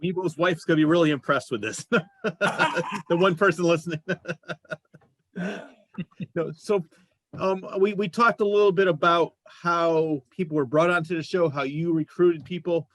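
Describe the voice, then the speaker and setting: A man's voice: on the high side, fairly even intonation, moderate pace, fairly bright tone, no room sound. A man hosting a talk show, chatting casually and jokily with his guests. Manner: jokey, chatty. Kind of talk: casual interview chat.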